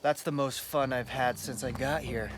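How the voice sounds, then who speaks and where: Dude voicing a cocky brawler, easygoing swagger, game music under him. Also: male voice, mid-range voice, fairly even intonation, normal speed, close to an everyday voice. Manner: boastful, easygoing. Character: cocky young male brawler who can't read.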